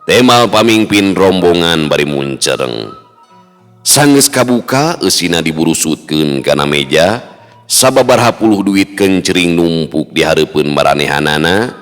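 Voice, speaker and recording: A man with a West Javanese accent telling a dramatic folk tale in a measured, theatrical way. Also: low voice. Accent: Sundanese-speaking West Java. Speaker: storyteller. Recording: long hall reverb, music underneath.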